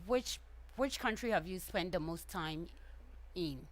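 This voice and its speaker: A woman's voice: lowish voice, expressive, unhurried. A woman hosting an interview, asking short questions and recapping the guest's answers. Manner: brief acknowledgments, recaps answers.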